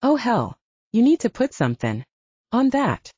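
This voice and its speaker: Audiobook role for a female reader: confident, teasing male soldier who urges and reassures, mellow husky delivery, dry and close. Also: on the high side, huge pitch swings, measured pace, no echo, dull audio, voice pushed up, full character voice. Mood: concerned, bossy. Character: cocky, reassuring male werewolf soldier, playful and insistent. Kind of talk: audiobook character dialogue.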